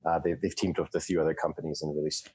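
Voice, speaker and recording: A man co-hosting a podcast, talking casually in halting phrases with many restarts. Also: extremely low pitch, flat delivery, darkish tone, husky. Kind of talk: casual chat.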